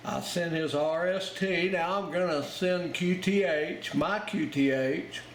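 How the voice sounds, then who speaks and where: A man's voice: fairly high pitch, a little slow. A man giving an informal hands-on tutorial as an instructor, explaining each step plainly as he goes. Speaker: amateur radio Morse code instructor. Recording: roomy, very noisy, with clicking and beeps.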